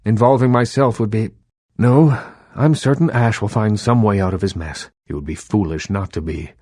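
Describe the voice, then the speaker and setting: An actor playing a proud, old-fashioned vampire baron in a video game, speaking with measured, theatrical, world-weary authority. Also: male voice, fairly low pitch, darkish tone, dry recording, studio quality, natural voice. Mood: grave, warning. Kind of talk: scripted video game dialogue.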